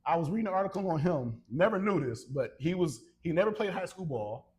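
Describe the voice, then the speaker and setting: Casual American man, slightly higher voice. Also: animated, brisk, a little mellow. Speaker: podcast co-host. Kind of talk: casual conversation between friends.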